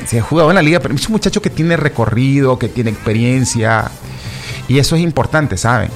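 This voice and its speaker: Gravelly man riffing on football. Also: medium pitch, lively intonation, fairly quick, faint music behind. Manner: rambling, repeats himself, many fillers and restarts; addresses listeners as "chicos". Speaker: football commentator. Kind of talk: casual opinion talk.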